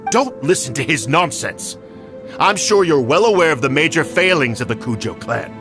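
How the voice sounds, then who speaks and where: Game role: aging self-serving clan lord, obsequious blusterer, lively high-leaning delivery over clear music. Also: male voice, normal speed. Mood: blustering. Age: older. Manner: blustering, indignant, fawning to superiors. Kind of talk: dramatic video game voice acting.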